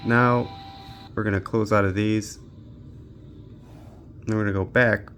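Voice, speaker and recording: A man's voice: lowish voice, steady tone, a little slow, a bit bright, faint music behind. A man giving casual, off-the-cuff commentary as he tests gaming gear, muttering to himself as he works. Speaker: gaming handheld reviewer.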